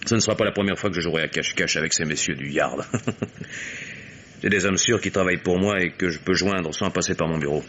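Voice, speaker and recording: An actor playing a shrewd, self-assured investigator in an old French radio mystery, with a measured, probing, faintly ironic delivery. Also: male voice, sits low, a bit bright. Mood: methodical, assured. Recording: dull, hissy old radio recording.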